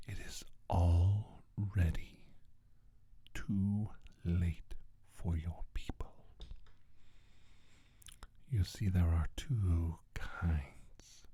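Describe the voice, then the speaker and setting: Guy doing an evil alien scientist for asmr, super slow low raspy whisper, condescending and menacing. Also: mellow, heavily acted. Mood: cold menace, then ominous, measured. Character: menacing alien scientist, scornful, bent on world domination. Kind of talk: soft-spoken ASMR roleplay monologue.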